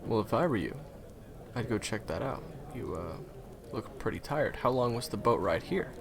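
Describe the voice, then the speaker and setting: A man's voice: fairly low pitch, animated, moderate pace, gravelly. A man playing a friendly, chatty guide to monster hunters in an audio roleplay, explaining things casually and reassuringly straight to the listener. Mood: caring, friendly. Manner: casual, hesitant asides, explanatory. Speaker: voice roleplay performer. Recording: crowd chatter behind the voice. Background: busy crowd ambience.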